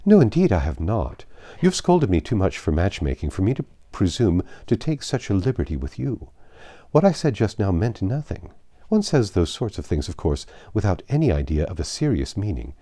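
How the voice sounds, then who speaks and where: A man voicing a lively, opinionated young gentlewoman from a classic novel, speaking in an arch, emphatic and formal way. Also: deep voice, moderate pace, no echo, clean studio sound. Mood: protesting.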